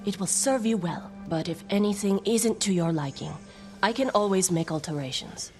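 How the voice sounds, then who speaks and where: A woman's voice: medium pitch, normal speed, a little mellow, faint music behind, lightly acted. A woman playing a proud, deferential armorer in a video game, speaking politely and with assurance. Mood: proud, obliging. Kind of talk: cinematic video game acting.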